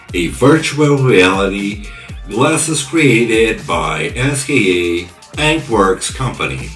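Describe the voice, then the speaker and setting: A man playing a world-weary, probing ex-police investigator in an English-language cyberpunk audio drama, speaking deliberately in an echoey recording. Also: lowish voice, fairly bright tone, heavily acted. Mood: curious. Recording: echoey voice over music.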